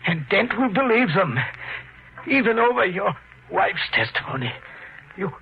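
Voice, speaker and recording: An actor playing a sadistic, cultured killer in a 1950s radio thriller, with a taunting, deliberate, theatrical delivery. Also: male voice, high voice, very animated, gravelly, slight background noise, heavily acted. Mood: bitter, desperate. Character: sadistic, gloating homicidal maniac, man, cultured killer. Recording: muffled old radio broadcast, music behind.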